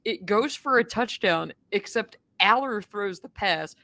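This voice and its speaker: Booming-voiced woman co-hosting a sports podcast. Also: unhurried. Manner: lively storytelling, self-described booming voice. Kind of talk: casual sports podcast chat. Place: stadium press box.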